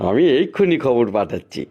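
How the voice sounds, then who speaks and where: A man's voice: mid-range voice, vocal fry, husky, muffled recording, exaggerated voice. A man voicing a self-important yet servile, henpecked village landlord in a cartoon. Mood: eager, fawning. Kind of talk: Bengali cartoon folk tale voice acting.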